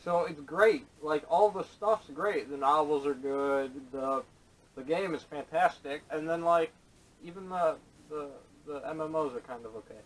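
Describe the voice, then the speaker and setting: A man's voice: fairly high pitch, a little slow. A man giving blunt, opinionated remarks in a casual podcast chat, captured on a camera microphone. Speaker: podcast panelist. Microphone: camera microphone at a distance. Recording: distant camera microphone, faint noise.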